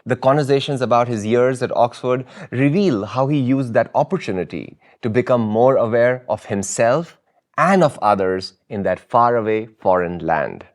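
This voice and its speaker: A man hosting and narrating a documentary podcast in a measured, scripted style. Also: mid-range voice, animated. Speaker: podcast host.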